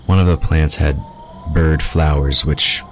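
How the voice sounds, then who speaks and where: A man's voice: a bass voice, steady tone, measured pace, slight background noise. A man narrating a scripted fantasy audio drama. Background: ambient sound effects.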